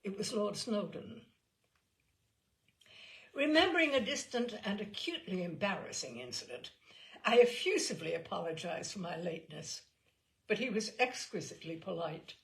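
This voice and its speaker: Animated, creaky-voiced woman reading a story. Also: mid-range voice. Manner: expressive, deliberate phrasing. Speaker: host and reader of short stories. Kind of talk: dramatic literary story reading.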